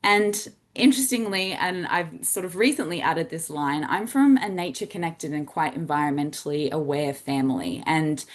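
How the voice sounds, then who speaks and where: A woman's voice: sits low, moderate pace, hardly any pauses. An Australian woman in her thirties, a science communicator giving an earnest presentation talk with frequent ums and restarts. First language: English.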